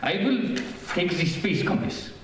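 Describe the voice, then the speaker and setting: Man playing a formal, lively radio-serial scientist. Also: high-pitched, unhurried. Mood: businesslike. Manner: formal, theatrical. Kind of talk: old-time radio serial acting. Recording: echoey stage, distant mic, faint noise.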